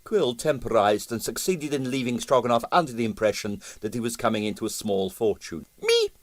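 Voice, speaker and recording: A man's voice: medium pitch, brisk, dry recording. A man reading a story aloud as dramatic scripted narration. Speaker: audiobook narrator.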